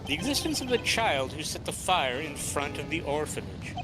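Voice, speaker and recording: A man's voice: a little high, sing-song, rough recording. A man voicing a formal, theatrical male prosecutor. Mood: dramatic. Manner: measured, dramatic reveals. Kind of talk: fan dub of a game. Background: game music.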